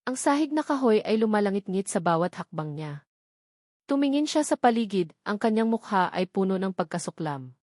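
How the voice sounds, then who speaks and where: Female Filipino storyteller for romance audiobook, dramatic scripted read, mid pitch, close dry recording. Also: big pitch swings, moderate pace, darkish tone, no room sound. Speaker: audiobook narrator.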